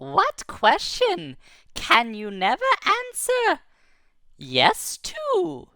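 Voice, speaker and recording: Woman putting on a tiny glowing riddle-master, male, squeaky and snarky, very high and bright, dry close sound. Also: dry recording, full character voice. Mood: teasing. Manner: squeaky, excitable, hurried.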